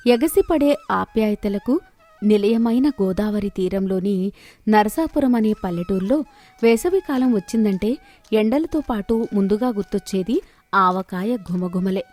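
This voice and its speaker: A woman narrating a scripted moral story in an expressive, measured way. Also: medium pitch.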